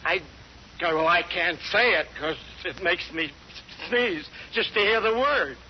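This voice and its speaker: An actor playing a sickly, giggly male dwarf in a 1940s radio play, halting and bashful. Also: sits high. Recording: dull old radio broadcast with hiss.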